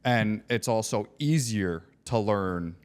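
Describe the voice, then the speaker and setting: A man's voice: on the low side, lively intonation, takes it slowly. A man co-hosting a podcast in casual chat, talking loosely and sometimes searching for words; Polish was his first language. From United States. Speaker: podcast co-host.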